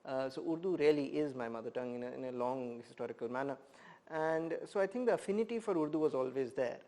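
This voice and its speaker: Thoughtful Indian professor, measured speech. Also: male voice, mid-range voice, dark tone. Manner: thoughtful, measured, many "uh" pauses. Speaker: poet and professor, interview guest. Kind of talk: reflective conversational interview.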